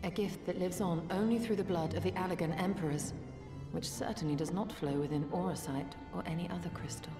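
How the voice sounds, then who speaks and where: A cool, learned fantasy lady, played by a woman, speaks in a lowish register with formal precision for a video game. Her words echo through a hall over music. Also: a little fast, lightly acted. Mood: matter-of-fact. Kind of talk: scripted video game voice acting.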